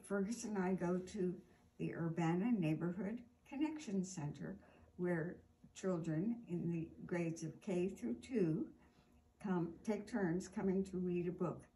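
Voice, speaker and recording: An older woman in her sixties hosting a children's storytime, reading aloud deliberately and expressively, drawing out words for emphasis. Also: on the low side, warm tone, slight background noise, low-quality recording. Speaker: storytime host reading a picture book aloud. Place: indoor room with armchair.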